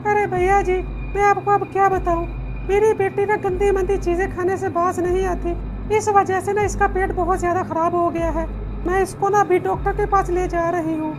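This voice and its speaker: A woman voicing a protective, chiding village mother in an animated story. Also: very high-pitched, moderate pace, background noise. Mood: embarrassed. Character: anxious, scolding village mother of a young girl. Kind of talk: Hindi animated horror story dialogue. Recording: music behind the voice.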